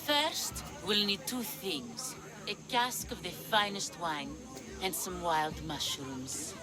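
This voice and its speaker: A woman's voice: lowish voice, expressive, slow pace. A woman playing a sly, theatrical witch of Hecate in a video game, drawing out her phrases seductively. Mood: sly, enticing. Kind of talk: video game cutscene acting. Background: music.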